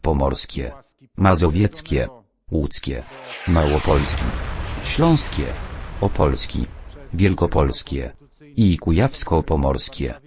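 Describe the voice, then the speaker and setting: A man's voice: very deep voice, expressive, takes it slowly, long pauses. A man reading a scripted emergency alert announcement.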